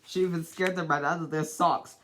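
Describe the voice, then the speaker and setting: A person reading a children's storybook aloud in a deliberate, dramatic way, as an amateur narrator. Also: mid-range voice, steady tone, very noisy.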